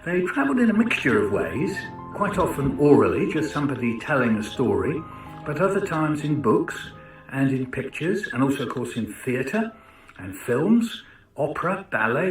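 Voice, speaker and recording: Male lecturer, conversational and questioning, mid-pitched, recorded at a distance with music behind. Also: big pitch swings, normal speed. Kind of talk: informal educational talk with rhetorical questions. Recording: roomy, distant mic with music.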